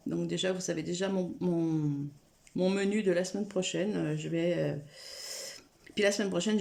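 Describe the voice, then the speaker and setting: A woman's voice: mid-range voice, creaky, darkish tone. A woman chatting casually to camera from a room at home, rambling with many fillers and restarts, with a cold. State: has a cold. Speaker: coloring vlogger.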